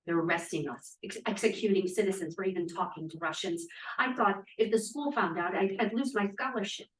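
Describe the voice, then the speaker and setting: A woman's voice: medium pitch, close to an everyday voice. Actress as an earnest young émigré woman, echoey room. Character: earnest young Russian émigré woman scientist, pleading and idealistic. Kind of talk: 1950s period drama read at a table read. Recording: echoey room, distant microphone.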